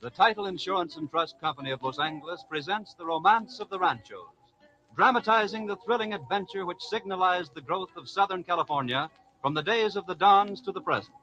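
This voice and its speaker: A man's voice: high voice, expressive, brisk, pushed and bright. An American man announcing in a formal, polished 1940s radio style, reading scripted sponsor narration. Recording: dull vintage radio broadcast with music.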